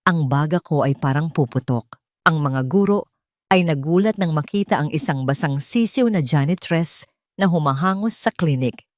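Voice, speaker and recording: Low-voiced Filipino woman narrating a drama. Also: expressive, normal speed, darkish tone, dry recording, clean studio sound. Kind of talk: dramatic first-person scripted narration.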